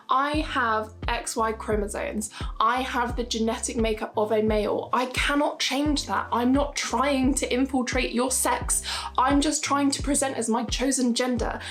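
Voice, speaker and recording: A young woman in her twenties talking straight to camera in a casual vlog, earnest and emphatic, repeating her points for stress. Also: fairly bright tone. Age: 20s. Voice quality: high-pitched voice. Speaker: YouTuber.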